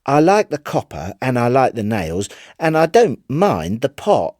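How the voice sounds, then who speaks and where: An uneasy, stubborn working shipwright voiced by a male narrator in a storybook tale. He speaks up in a raised pitch, bluntly and a little haltingly. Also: sing-song, a little mellow, no room sound, clean studio sound. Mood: blunt, wary.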